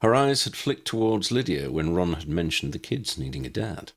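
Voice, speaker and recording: A man's voice: low voice, sing-song, normal speed. A man reading a scripted story aloud as an audiobook narrator. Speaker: audiobook narrator reading own fan fiction.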